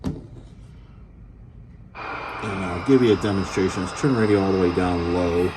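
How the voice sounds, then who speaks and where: A man giving a casual, unscripted product demo as a seller, speaking plainly and hesitantly with frequent pauses. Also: sits low. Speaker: auction seller demonstrating radio gear. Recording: clear background noise, home video.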